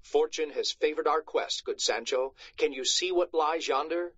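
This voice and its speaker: A man voicing a grandiose, deluded gentleman knight in a storybook, declaiming pompously, on a slightly muffled recording. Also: a little high, normal speed, breathy edge, dry recording. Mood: imperious. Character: grandiose, deluded old-fashioned Spanish knight, lean gentleman. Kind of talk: storybook read-aloud.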